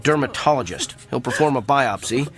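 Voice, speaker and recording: A man's voice: mid-range voice, normal speed, lightly acted. Actor as blunt, clinical male doctor, music behind. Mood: clinical, detached. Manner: matter-of-fact, clinical, tactless. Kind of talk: radio drama acting.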